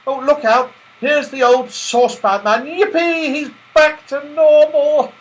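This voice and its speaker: A man voicing an excitable, sweet little girl in a storybook, gasping and exclaiming. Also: very high voice, measured pace, voice pushed up, poor audio. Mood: delighted.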